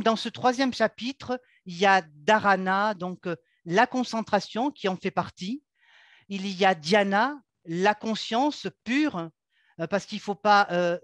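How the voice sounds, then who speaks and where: A woman who teaches yoga, talking earnestly and haltingly about her practice, with many filler sounds and restarts. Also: medium pitch, no echo. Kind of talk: unscripted personal account.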